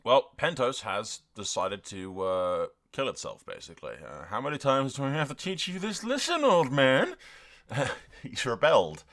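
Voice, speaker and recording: Animated man doing theatrical gaming commentary. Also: medium pitch, moderate pace. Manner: theatrical, jokey, sweary. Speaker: gaming video creator. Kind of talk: casual game roleplay commentary with dramatic narration.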